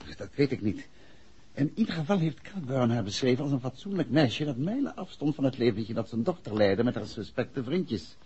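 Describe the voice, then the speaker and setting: An actor playing a composed, inquisitive gentleman detective in a Dutch radio play from the 1960s, with a Netherlands accent and measured, courteous speech. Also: medium pitch, sing-song, husky. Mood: pensive. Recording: dull, muffled 1960s radio recording.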